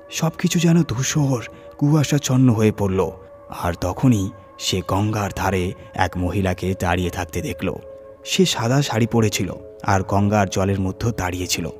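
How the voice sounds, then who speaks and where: Low-voiced man narrating horror. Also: a little mellow. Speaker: horror story narrator, channel host. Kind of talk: dramatic scripted horror narration.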